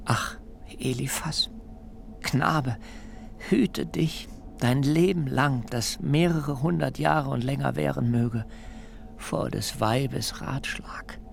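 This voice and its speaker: An actor playing a fearful, self-excusing biblical fugitive who pleads in wordy, theatrical rhetoric in a literary radio drama. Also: male voice, medium pitch, takes it slowly, creaky, soft background music. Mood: mournful warning. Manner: theatrical, beseeching, rhetorical questions, hushed dips into whisper.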